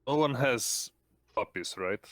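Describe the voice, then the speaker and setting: A man's voice: mid-range voice, lively intonation, unhurried, a bit bright. A man talking casually as a player in a tabletop roleplaying game. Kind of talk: casual chat.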